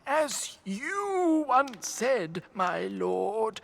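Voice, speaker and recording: Fantasy audio drama: ancient head priest, high-pitched, extremely slow, obsequious, quavering, insinuating. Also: female voice, big pitch swings, vocal fry, exaggerated voice. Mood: ominous deference. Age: older. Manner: halting, quavering, deferential.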